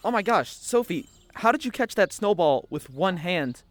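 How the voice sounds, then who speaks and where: A man's voice: high voice, sing-song, normal speed, a little hiss or hum. A man playing a cheeky, reckless friend in an audio drama, teasing and theatrical in manner. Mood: playful. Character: cheeky, reckless, mischievous male friend of a reporter. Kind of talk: scripted audio fiction acting.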